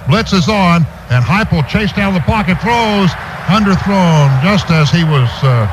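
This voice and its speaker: A man's voice: sits high, lively intonation, measured pace. An American man doing live play-by-play commentary of a football game for radio. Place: stadium radio booth. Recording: noisy stadium radio broadcast recording. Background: clear background noise.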